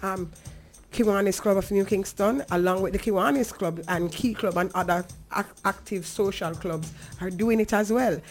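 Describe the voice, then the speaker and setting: A woman's voice: medium pitch, normal speed, soft background music. A woman with a Jamaican accent talking earnestly and conversationally as a talk show host, emphatic and now and then slipping into patois.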